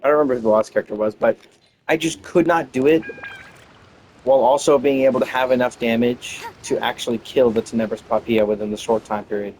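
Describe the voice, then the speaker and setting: A man's voice: medium pitch, quick pace, darkish tone, a little hiss or hum, low-quality recording. A man giving casual gameplay commentary, rambling and thinking aloud as he goes. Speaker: gamer commenting on own playthrough.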